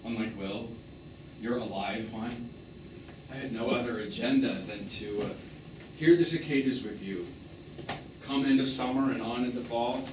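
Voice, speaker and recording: A man's voice: mid-range voice, normal speed, pauses often, natural voice. A man acting a melancholy, tender, wounded romantic in stage drama dialogue, lyrical and pointed in delivery. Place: theatre stage, recorded from the audience distance. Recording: echoey, distant, noisy live theatre recording.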